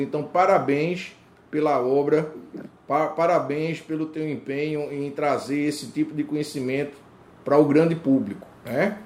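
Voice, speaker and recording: A man hosting an interview, introducing his guest formally and asking questions in a measured, complimentary way. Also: on the high side, darkish tone, a little hiss or hum. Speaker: interview host.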